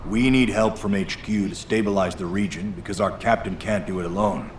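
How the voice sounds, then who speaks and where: Low-voiced, earnest actor as field officer. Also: male voice, brisk, close to an everyday voice. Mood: concerned. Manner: explanatory, polite. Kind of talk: video game voice acting. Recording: roomy echo, noise behind.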